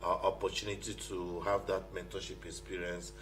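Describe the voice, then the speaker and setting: A man with a Nigerian accent explaining as a guest in a radio interview, haltingly, repeating phrases. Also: deep voice, little pitch movement. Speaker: guest, hackathon organiser. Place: radio studio. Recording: clear background noise with hum.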